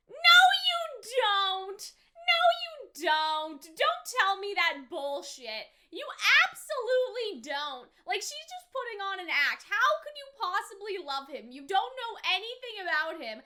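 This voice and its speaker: A woman's voice: very high voice, sharp tone. A woman giving live reaction commentary on a show, exclaiming often in slangy, sweary bursts and drawing out words. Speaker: reaction video host.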